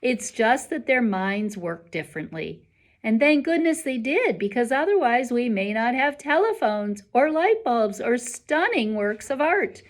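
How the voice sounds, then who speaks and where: Woman reading as an animated, encouraging male schoolteacher. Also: on the high side, measured pace, pitched above the performer's own voice. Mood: warmly emphatic. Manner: rhetorical, emphatic, instructive. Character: encouraging, earnest male schoolteacher addressing his class.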